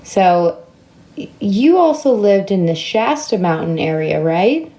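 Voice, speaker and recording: A woman asks casual interview questions, gently prompting and giving brief acknowledgments. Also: medium pitch, very animated, measured pace, poor audio.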